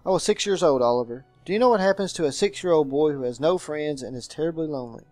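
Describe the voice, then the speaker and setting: A man voicing a brooding gentleman guardian in a game, telling his story in a formal, melancholy manner. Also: a little high, quick pace, no room sound, faint music behind, natural voice. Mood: regretful.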